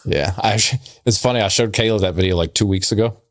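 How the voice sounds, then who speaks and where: A man's voice: on the low side, fast talker. A man co-hosting a casual podcast, bantering and reading letters aloud in a conversational way. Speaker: podcast co-host.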